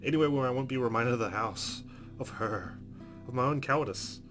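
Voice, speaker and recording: A man's voice: medium pitch, fairly quick. A man playing a visual novel, reading the narration aloud expressively with casual, wry asides over game music. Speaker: let's player. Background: game music with guitar.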